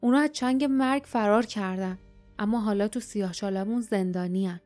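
A woman voicing an angry male farmer who speaks loudly and harshly, in an audiobook. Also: mid-range voice, faint music behind, close to an everyday voice. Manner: angry, loud.

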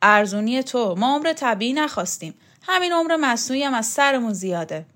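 A woman's voice: on the high side, big pitch swings, fairly bright tone, voice pushed up. A woman voicing a blunt, coarse middle-aged strongman who scolds and swears. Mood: angry. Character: tough, blunt middle-aged male strongman, scornful and gruff.

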